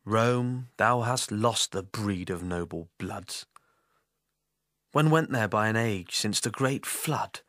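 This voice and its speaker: A man playing a bitter, scheming Roman nobleman in classical Shakespearean style, declamatory, deliberate and persuasive. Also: fairly low pitch, fairly bright tone, no room sound, studio quality. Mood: scornful, persuasive. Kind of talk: classical Shakespearean stage acting.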